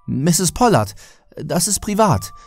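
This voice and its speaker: A man's voice: a little high, very animated, takes it slowly, darkish tone, soft background music, voice pushed up, exaggerated voice. A man voicing a worried, exasperated doctor who speaks firmly to a patient in a horror audio story. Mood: firm, urgent. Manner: urgent, commanding.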